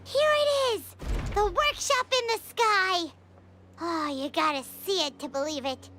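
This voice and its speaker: A woman voicing a small, excitable floating fairy girl in a video game, with a squeaky childlike voice. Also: measured pace, very noisy. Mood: excited. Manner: excitable, refers to self in third person. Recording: music behind the voice. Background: game music.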